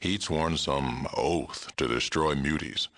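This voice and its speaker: A man playing a gruff, aging super mutant sheriff in a video game, world-weary but fair-minded, with a gravelly rumble and a blunt, dry delivery. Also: takes it slowly, fairly bright tone, full character voice. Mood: reflective, world-weary. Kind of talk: video game character dialogue.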